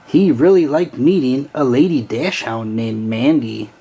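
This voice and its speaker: A man reading a children's storybook aloud with an expressive, sing-song storytelling delivery. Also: medium pitch, unhurried, husky. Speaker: storybook reader.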